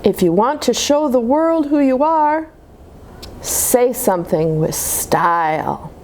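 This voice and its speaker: Animated woman reading to children, higher voice. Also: takes it slowly, slight background noise. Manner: animated, simple explanations to children. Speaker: children's storybook reader at a church. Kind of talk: expressive read-aloud for young children.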